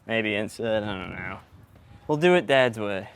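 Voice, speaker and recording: A man's voice: on the low side, expressive, measured pace. A man talking casually and teasingly as he explains the work he is doing on location. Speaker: sound engineer and host. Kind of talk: casual chat while working. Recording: clear background noise, on-location audio.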